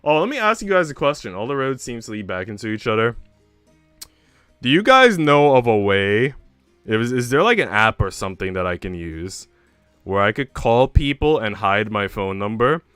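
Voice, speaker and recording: Low, clean-voiced young American man streaming. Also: sing-song, moderate pace, fairly bright tone, smooth, no echo. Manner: informal slangy banter with frequent swearing. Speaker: livestreamer and host. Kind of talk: casual livestream chat with viewers. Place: indoor room at home. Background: music.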